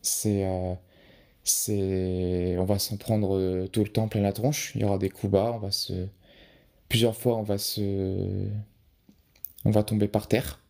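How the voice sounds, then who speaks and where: Young male guest, low gravelly voice, mellow tone, halting and unpolished delivery. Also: little pitch movement. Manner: hesitant, many restarts and fillers. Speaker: podcast guest, photographer. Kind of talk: casual interview answers.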